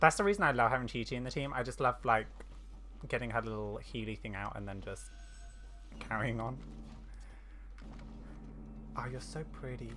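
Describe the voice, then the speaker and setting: A woman's voice: very deep voice, moderate pace, pauses often, music in the background. A woman giving casual gameplay commentary, muttering reactions and repeating phrases to herself as she plays. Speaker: gaming streamer.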